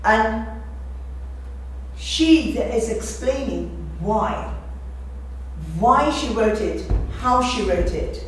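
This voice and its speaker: A woman's voice: on the high side, expressive, very slow, long pauses. Woman lecturing in a very echoey room. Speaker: speaker giving a talk. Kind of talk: explanatory literary talk. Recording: echoey room, distant mic, faint noise.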